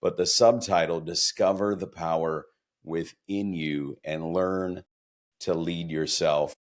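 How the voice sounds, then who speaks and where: A man's voice: deep voice, fairly even intonation, takes it slowly. A man hosting a podcast interview, chatty and enthusiastic, asking long winding questions. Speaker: podcast host.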